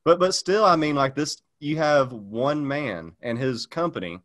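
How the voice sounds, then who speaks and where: A man's voice: fairly high pitch, a little slow, fairly bright tone. A man chatting casually on a podcast, rambling with lots of fillers and restarts. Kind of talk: casual chat.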